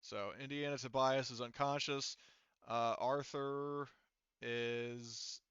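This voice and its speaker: A man's voice: mid-range voice, fairly even intonation, takes it slowly, a bit bright, clean tone, no echo, muffled recording. A man acting as game master, casually narrating a tabletop game and explaining rules while thinking aloud.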